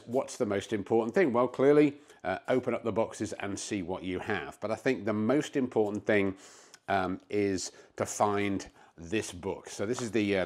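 A man in his fifties hosting a DIY vlog from his garage, explaining things casually with frequent pauses on a lapel mic. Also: lowish voice, darkish tone. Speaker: host of a DIY car-building vlog. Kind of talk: casual instructional talk to camera. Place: home garage. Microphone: clip-on lapel mic.

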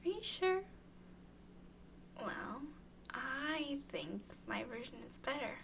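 A woman voices a playful, clingy young woman doting on her friend in an intimate ASMR roleplay. Her voice is high and creaky, often dropping to a soft, breathy whisper. There is faint noise behind her. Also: big pitch swings, slow pace, exaggerated voice. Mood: playful singsong.

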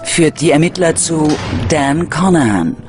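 A man delivering scripted true-crime documentary narration in a dramatic, measured, ominous manner. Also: on the high side, expressive. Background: background music.

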